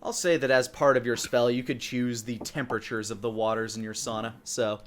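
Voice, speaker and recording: A man's voice: mid-range voice, fairly even intonation, over a noisy crowd. Man improvising tabletop game narration. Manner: descriptive, conversational, halting. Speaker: dungeon master.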